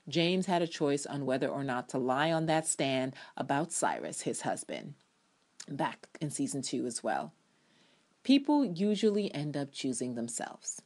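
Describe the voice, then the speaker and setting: A woman with a low voice reads her own review essay aloud. Her delivery is emphatic and dramatic, with firm opinions stated as commentary. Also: sing-song, measured pace, darkish tone. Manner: emphatic, opinionated, acts out quotations. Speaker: TV show reviewer.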